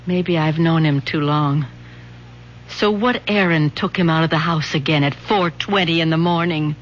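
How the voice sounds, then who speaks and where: A woman's voice: low voice, normal speed, natural voice. An actress playing a suspicious, bitter middle-aged mother and wife in a radio drama. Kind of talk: 1970s radio drama acting. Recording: muffled old radio broadcast.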